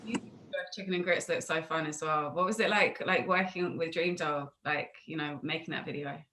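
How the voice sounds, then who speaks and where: A woman's voice: sits low, moderate pace, bright, forceful tone. A woman chatting casually as a music interviewer on a video call, asking rambling, chatty questions peppered with filler phrases. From United Kingdom.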